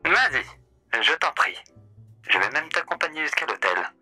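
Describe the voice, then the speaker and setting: A man's voice: fairly high pitch, sing-song, normal speed, sharp tone, soft background music, full character voice. A man voicing a suave, theatrical male radio demon who talks in a courteous, mocking way during a voice-chat roleplay. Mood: sly.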